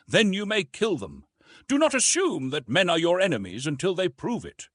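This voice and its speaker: A man voicing a lively French officer in an audiobook story, exclaiming and persuading. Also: sits high, normal speed, dry recording, clean studio sound, voice pushed up, exaggerated voice. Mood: urgent, chiding. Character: lively, principled French naval officer urging restraint.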